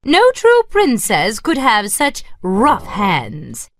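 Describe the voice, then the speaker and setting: A man's voice: high-pitched, huge pitch swings, fairly bright tone, no echo, studio quality, voice pushed up, heavily acted. A man putting on a cold, stern queen. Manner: slow, cold and commanding. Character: stern haughty middle-aged queen, demanding. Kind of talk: storybook reading.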